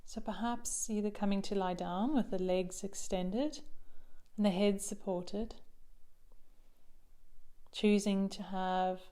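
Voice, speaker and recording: A woman leading a guided body scan meditation as a mindfulness teacher, soothing and unhurried, with long pauses and soft phrase endings. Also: mid-range voice, smooth.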